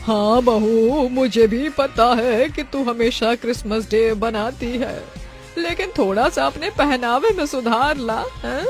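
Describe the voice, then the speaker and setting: A woman voicing a fussy middle-aged village mother-in-law in a family cartoon, with drawn-out exclamations and nagging questions. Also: on the high side, faint music behind. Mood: scolding. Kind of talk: Hindi family cartoon voice acting.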